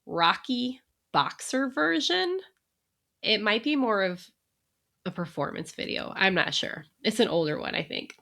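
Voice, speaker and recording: A woman co-hosting a casual podcast, at an average pitch for a woman. She talks in an easygoing, chatty way and laughs often between remarks. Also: sing-song, measured pace, creaky, sharp tone. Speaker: podcast co-host. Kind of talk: casual chat.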